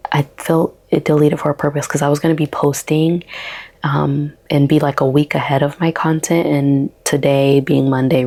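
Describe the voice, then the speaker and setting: A woman in her thirties with a soft, relaxing voice, talking slowly and haltingly in a casual daily vlog. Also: sits low. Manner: slow, halting, with many ums. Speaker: vlogger.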